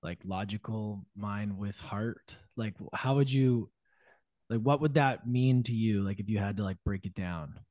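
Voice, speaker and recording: A man's voice: on the low side, unhurried, a little mellow, dry recording. Easygoing man as a podcast guest. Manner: easygoing, reflective, many fillers. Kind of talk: casual podcast conversation.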